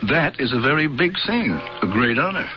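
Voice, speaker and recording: A dull old radio recording with music carries an earnest young man, voiced by an actor as the enthusiastic son of a family drama. His delivery is highly animated. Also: moderate pace, lightly acted. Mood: eager.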